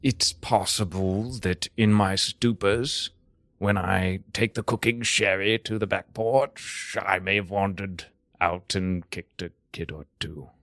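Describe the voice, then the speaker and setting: Casting: a man as haughty old-time poet, slow declamatory delivery, slippery, dry close sound, improv comedy. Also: low voice, fairly even intonation, fairly bright tone, no echo, exaggerated voice. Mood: haughty, evasive. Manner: declamatory, drawn-out verse. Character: pompous, evasive old-time English poet lord.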